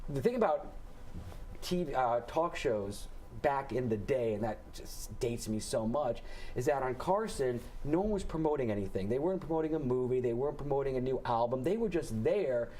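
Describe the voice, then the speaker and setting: A comedian in his forties, a man, chatting casually as a guest on a morning radio show, piling up stories with quick restarts. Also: medium pitch, slight background noise, low-quality recording. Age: 40s. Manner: animated storytelling, quick restarts.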